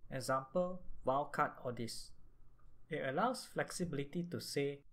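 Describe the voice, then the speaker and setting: A man hosting a software tutorial, speaking in an instructional way and signposting each step as he narrates. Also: fairly high pitch, normal speed, a little hiss or hum. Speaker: tutorial host.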